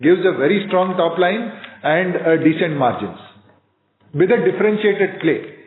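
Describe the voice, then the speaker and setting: Male executive for earnings call, halting and businesslike, slightly high voice, narrow phone sound. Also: lively intonation, slight background noise. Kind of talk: measured business presentation and answers. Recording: echoey, narrow conference phone line.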